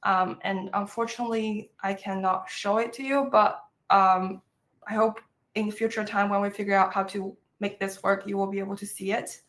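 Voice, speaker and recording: Chinese-accented woman professor, mid-pitched voice, halting presenter with many "um"s, on a video call. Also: steady tone, a bit bright. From China. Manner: halting, many fillers, friendly. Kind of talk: webinar presentation.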